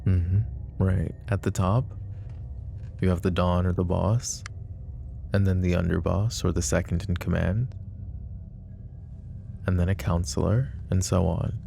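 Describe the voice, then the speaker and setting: A man playing a protective, possessive mafia boss in an intimate roleplay ASMR, speaking deliberately and broodingly straight to the listener. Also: low voice, gravelly, dark tone. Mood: measured, bitter.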